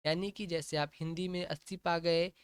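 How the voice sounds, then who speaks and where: A man's voice: a little high, fairly even intonation, normal speed, clear, resonant tone. A man coaching exam candidates, talking through a recruitment notice he shows on screen and checking in often with "okay". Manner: plain, repetitive explaining with frequent "ठीक है" checks. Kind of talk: explaining a job notification on screen.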